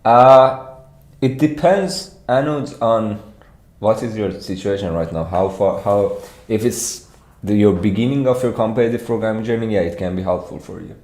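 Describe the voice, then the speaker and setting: A man from Iran with a Persian accent, giving an informal livestreamed walkthrough as a programming instructor, thinking aloud haltingly. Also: on the low side, darkish tone. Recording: echoey room.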